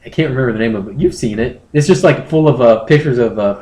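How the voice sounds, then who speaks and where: A man hosting a comedy podcast, talking casually in a joking, halting way. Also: medium pitch, dark tone. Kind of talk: casual chat. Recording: echoey room.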